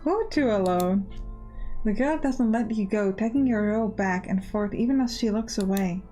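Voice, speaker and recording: A woman's voice: mid-range voice, normal speed, dark tone, soft background music. A woman hosting a gaming let's play, chatting and reading game text aloud. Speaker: gaming channel host.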